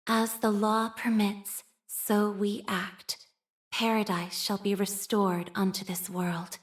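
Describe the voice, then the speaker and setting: A woman acts out a young priestess of a data faith for a video game. She is humble and shy, with a mid-pitched voice. Her delivery is formal and measured, like scripture recited by someone who prefers machines to people. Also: flat delivery, lightly acted. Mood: solemn, reverent. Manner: formal, measured, liturgical phrasing with hesitant shyness. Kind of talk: scripted game character voice lines.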